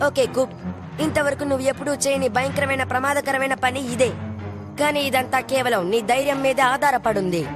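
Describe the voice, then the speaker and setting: Plucky, earnest cartoon boy voiced by a woman, high pitch, eager delivery over loud music. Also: fairly even intonation, brisk, natural voice. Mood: determined. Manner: eager, dramatic. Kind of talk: dubbed cartoon acting.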